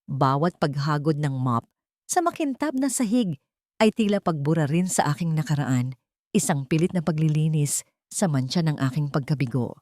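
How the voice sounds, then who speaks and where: Low-pitched, highly animated woman narrating drama. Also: normal speed, dry recording, studio quality. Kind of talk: dramatic first-person scripted story narration.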